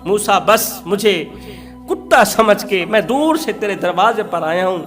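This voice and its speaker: A man's voice: sits high, animated, a little slow, fairly bright tone, soft background music. A man voicing a desperate sick man who humbly begs for healing in a sermon story, pleading and repeating himself. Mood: humble pleading. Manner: pleading, self-abasing, repetitive.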